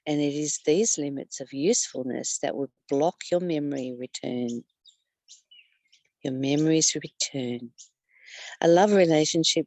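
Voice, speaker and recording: A woman with a lowish voice reads spiritual teachings aloud and reflects on them. She speaks very slowly and deliberately, leaving pauses and repeating phrases. The sound is dry, with little room around her. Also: a little mellow, no echo. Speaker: spiritual study teacher and reader. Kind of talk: reading a spiritual text aloud with personal commentary.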